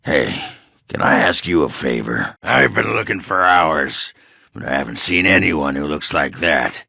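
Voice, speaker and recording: An actor voicing a gruff, hard-drinking, sardonic dwarf warrior in a video game, blunt and crude, with a rough, gravelly voice. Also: male voice, very deep voice, animated, a little slow, bright, forceful tone, no room sound, heavily acted. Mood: tipsy, sarcastic. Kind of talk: video game character dialogue.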